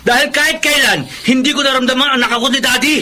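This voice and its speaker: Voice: high-pitched, fairly even intonation, fast, bright, forceful tone. An actor playing a sensitive young son and older brother in a radio drama, emotional and pleading. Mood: anguished outburst. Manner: emotional, pleading, respectful to elders.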